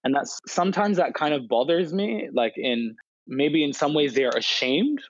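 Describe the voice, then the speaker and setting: A man's voice: on the high side, expressive, normal speed, no room sound. Guest voice: young man, dry dull sound, reflective and candid, loose conversational delivery. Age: 20s. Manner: open, reflective, many "you know" fillers. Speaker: podcast guest, poet and influencer. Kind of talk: casual interview chat.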